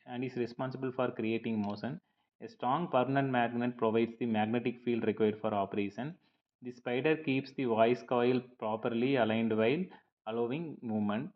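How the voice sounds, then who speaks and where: A dull, lo-fi recording carries a man's mid-pitched voice. He reads a prepared lecture as a teacher would, explaining point by point in a measured, slightly halting manner. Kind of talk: scripted lecture narration over slides.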